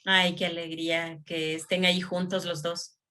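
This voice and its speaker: A woman interviewing in an effusive, halting way, often repeating phrases. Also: lowish voice, little pitch movement, sharp tone. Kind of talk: conversational interview.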